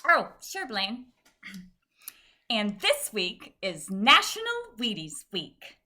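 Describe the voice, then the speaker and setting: A woman's voice: medium pitch, sing-song, slow, pushed and bright, exaggerated voice. A woman playing a chirpy, joking sponsor announcer in 1950s radio ad style. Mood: chirpy, amused.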